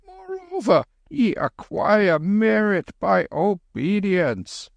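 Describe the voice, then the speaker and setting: A man voicing a gentle, serene old Tibetan holy man who speaks slowly and solemnly. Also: high-pitched, huge pitch swings, creaky, no echo, dull audio, voice pushed up, exaggerated voice. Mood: mild. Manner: slow, mild, solemn.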